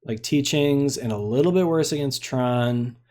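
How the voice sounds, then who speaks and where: A man's voice: medium pitch, measured pace, mellow. A young man giving casual, rambling commentary on a card game he is streaming. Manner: laid-back, rambling, lots of fillers.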